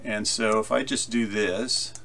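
A man explaining software in a casual, halting tutorial style, thinking aloud as he demonstrates. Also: lowish voice, steady tone, vocal fry. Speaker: tutorial presenter. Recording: loud guitar effects music over voice. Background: loud electric guitar with delay effects.

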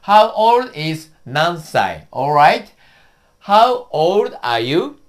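A Japanese-accented man in his fifties, a native Japanese speaker, teaching a casual lesson from a small studio room. He talks slowly, drills words again and again, and his pitch swings up and down a lot. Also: very animated. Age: 50s. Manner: slow, repetitive drilling with cheerful laughs. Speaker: YouTube host and teacher.

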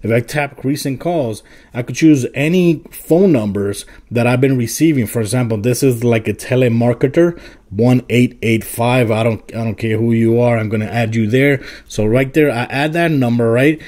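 A man presents a phone app tutorial in a casual, informal way. His voice is mid-pitched and on the mellow side. He explains each step plainly, as if showing a viewer around. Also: moderate pace. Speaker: tech video host.

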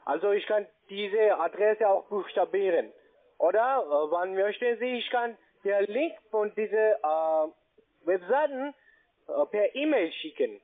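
A man with a foreign accent speaking non-native German, giving a halting phone sales pitch as a call centre agent and often checking with short tag questions. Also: expressive. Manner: halting, broken grammar, checks with "ja?" and "ne?". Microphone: telephone. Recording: muffled narrow phone line.

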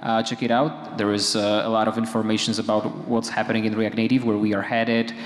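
A man's voice: fairly low pitch, level tone, brisk. A young man speaking on a conference panel into a handheld mic, hesitant with many fillers and restarts. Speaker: panelist, framework contributor. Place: conference stage, large hall. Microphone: handheld microphone. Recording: echoey hall with long reverb.

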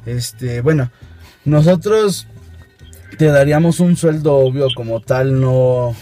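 A young adult man with a Mexican accent speaks in a mellow, unforced voice. His delivery is hesitant and improvised, as in a casual phone conversation. He is recorded inside a car, with faint music behind him. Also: medium pitch. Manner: halting, hesitant explaining. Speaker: prank caller, video host. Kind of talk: improvised prank phone call and casual chat. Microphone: camera at arm's length.

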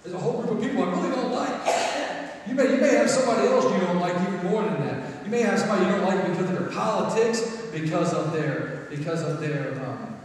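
A man's voice: high-pitched, animated, fairly quick. A middle-aged man preaching a conversational sermon in a church sanctuary, often repeating words as he builds a point. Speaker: pastor. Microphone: far camera, room pickup. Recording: echoey hall from far off.

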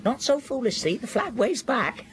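An actor playing a tormented, raving deserter soldier in a radio drama, with a manner that veers between whispers and cries. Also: male voice, very high-pitched, lively intonation, unhurried, noisy background. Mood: defensive confession. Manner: feverish, swinging from whispers to cries.

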